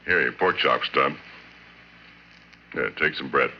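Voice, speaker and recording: An actor playing a rough, easygoing oil-rig worker in 1940s radio horror style, with colloquial working-man speech. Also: very deep voice, slow pace, heavily acted. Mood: folksy, casual. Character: rough, folksy, easygoing oil-rig roughneck telling his eerie tale. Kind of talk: 1940s radio horror drama acting, first-person narration and dialogue. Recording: muffled, noisy vintage radio recording.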